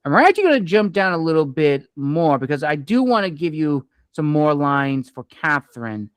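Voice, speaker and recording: A man, the author, reading his own story aloud in a measured, expressive way. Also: a little high, clear, resonant tone, no room sound.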